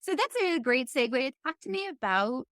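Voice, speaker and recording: A woman's voice: high voice, sing-song, normal speed, a bit bright, no echo, clean studio sound. A woman hosting a podcast, chatting casually as she interviews a guest.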